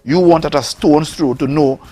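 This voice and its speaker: A man's voice: fairly high pitch, moderate pace, warm tone. Emphatic Tobagonian man. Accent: Trinidad and Tobago. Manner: emphatic, repeats words for stress. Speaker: politician, guest. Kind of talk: political talk show discussion.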